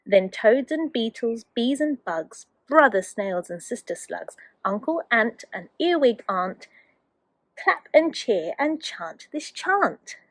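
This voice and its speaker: A woman's voice: a little high, big pitch swings, slow pace, dry recording, rough recording. A woman, a teacher, reading a children's storybook aloud expressively to young children.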